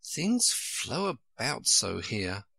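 A man voicing a gentle, polite, puzzled seven-year-old girl in a storybook. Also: medium pitch, sing-song, a little slow, vocal fry, breathy edge, no room sound, pitched above the performer's own voice, exaggerated voice. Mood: plaintive, puzzled. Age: child.

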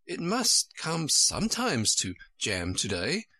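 A man's voice: fairly high pitch, huge pitch swings, takes it slowly, sharp tone, no room sound, pitched above the performer's own voice, full character voice. A man voicing a polite, earnest young storybook girl who questions things in a puzzled, proper way. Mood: puzzled, objecting.

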